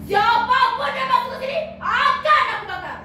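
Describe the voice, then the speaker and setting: A young female storyteller voicing a huge, angry male dragon who guards his forest, loud and threatening. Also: very high voice, moderate pace, voice pushed up. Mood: furious. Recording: echoey hall, from far off, noisy.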